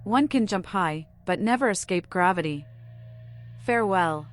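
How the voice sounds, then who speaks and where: A woman's voice: lowish voice, lively intonation, moderate pace, soft background music, natural voice. A woman playing a mad young vampire who talks in eerie, playful riddles in a video game. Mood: cryptic. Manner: speaks in cryptic riddles.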